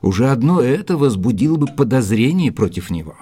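An actor playing a courteous, inquisitive detective's companion in an audio drama, questioning politely and earnestly. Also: male voice, mid-range voice, very animated, a little fast, warm tone, slightly rough. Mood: puzzled, probing. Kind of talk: audio drama acting, dialogue.

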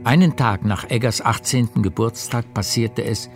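A man narrating a literary radio play in a measured storytelling manner. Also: mid-range voice. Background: music.

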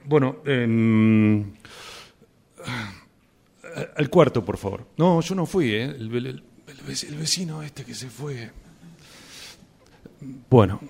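An actor playing a hesitant, polite man who stammers, with a creaky voice, in a radio theatre exercise. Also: mid-range voice, animated, a little mellow, exaggerated voice. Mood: flustered politeness. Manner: halting, stammering.

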